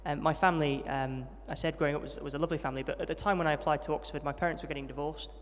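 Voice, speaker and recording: Fast-talking young man in a hall. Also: fairly high pitch, steady tone. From Yorkshire, England. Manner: friendly, self-deprecating, fast storytelling with many ums. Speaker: interviewee, trainee vicar. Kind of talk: testimony interview at a student event.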